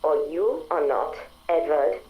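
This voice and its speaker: A woman's voice: sits low, slow, full character voice. Dull-toned, composed female AI. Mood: cool, probing. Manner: calm, precise, formal. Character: earnest female artificial intelligence, composed and proud. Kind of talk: game audio-log drama acting.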